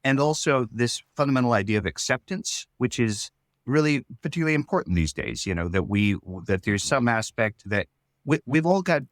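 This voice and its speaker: A man's voice: mid-range voice, animated, fairly quick, no room sound, clean studio sound. A man giving a casual interview as a writer, reflective and hesitant, often restarting his phrases. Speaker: writer-creator interviewed about his show.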